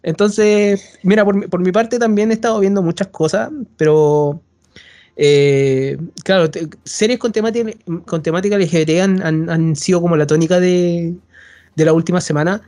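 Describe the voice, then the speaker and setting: A man's voice: high-pitched, normal speed, mellow. A man hosting a podcast, talking casually and rambling with frequent restarts. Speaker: podcast host.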